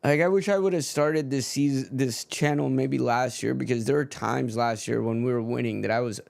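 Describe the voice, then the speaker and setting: A man's voice: mid-range voice, normal speed, gravelly, darkish tone, no room sound, studio quality. A man streaming a live football match and ranting frankly about his team's manager, swearing freely in casual chat.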